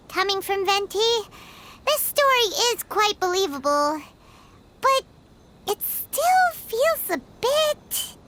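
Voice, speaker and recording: A woman voicing a tiny, excitable, nosy fairy companion in a video game, with a squeaky, childlike voice. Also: slow, noisy background, exaggerated voice. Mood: doubtful. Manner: refers to self in third person, questioning.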